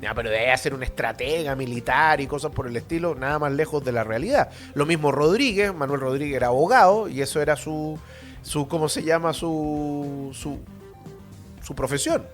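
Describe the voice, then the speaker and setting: A man's voice: on the high side, moderate pace, soft background music. A man with a Chilean accent hosting a radio history show, lecturing informally in a blunt, opinionated, colloquial way full of rhetorical and tag questions.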